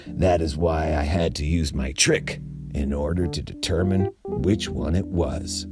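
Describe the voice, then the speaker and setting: A man reading a children's mystery book aloud in a slow, expressive manner. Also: a bass voice. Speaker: storyteller.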